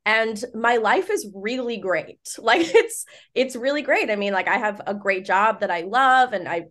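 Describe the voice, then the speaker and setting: A woman aged thirty talks as a guest in a casual conversation. Her voice sits at a middle pitch, and she is candid and very colloquial in her phrasing. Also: fairly bright tone. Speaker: podcast guest. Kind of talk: casual chat.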